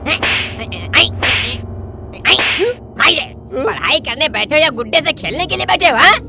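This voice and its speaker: A woman voicing an excitable, grumpy cartoon father and uncle who exclaims and repeats his words. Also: high-pitched, normal speed. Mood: scolding. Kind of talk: cartoon comedy voice acting.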